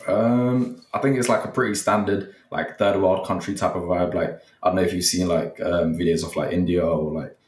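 Deep-voiced young man rambling in an echoey room. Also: steady tone, normal speed, hardly any pauses, darkish tone, recorded from a distance. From Nepal. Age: young adult. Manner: rambling, many fillers, hesitant. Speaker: guest, content creator. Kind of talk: casual interview chat. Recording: echoey, from off the mic.

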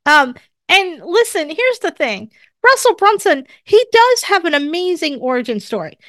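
A woman's voice: very high-pitched, very animated, moderate pace, a bit bright, dry recording, studio quality. A congested woman co-hosting a podcast, chatty and opinionated as she leads a casual conversation from her home office. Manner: chatty and opinionated, leading the talk. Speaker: podcast co-host. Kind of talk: casual chat.

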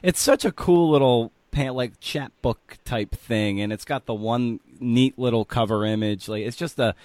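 A man's voice: medium pitch, normal speed, a little mellow, no room sound. A man hosting a podcast in a casual, loosely phrased conversational way, close to the microphone. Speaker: podcast host.

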